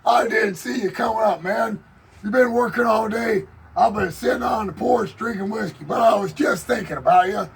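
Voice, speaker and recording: A man's voice: high-pitched, normal speed, vocal fry, crowd noise. A man telling a rambling, boastful tall tale in a folksy drawl, as if chatting on a porch. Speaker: storyteller in character. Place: outdoors on a porch.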